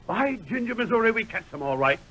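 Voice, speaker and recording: An actor playing a folksy old prospector sidekick with a rustic American drawl in an old radio western. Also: male voice, high voice, big pitch swings, fast, a bit bright, full character voice. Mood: folksy, eager. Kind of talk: 1930s-40s radio western acting. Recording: noisy vintage radio recording.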